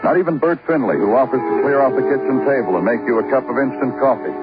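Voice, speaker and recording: An actor playing a terse, brooding, world-weary American police detective in a 1950s radio crime drama. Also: male voice, mid-range voice, animated, quick pace, natural voice. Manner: clipped questioning, sardonic, world-weary. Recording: muffled old radio broadcast, music underneath.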